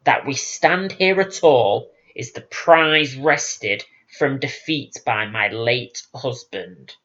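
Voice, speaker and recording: A man's voice: mid-range voice, takes it slowly, fairly bright tone, lo-fi sound. Man voicing an imperious, scornful elf queen. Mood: quiet menace. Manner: imperious, contemptuous. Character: proud, scornful, menacing elf queen mother.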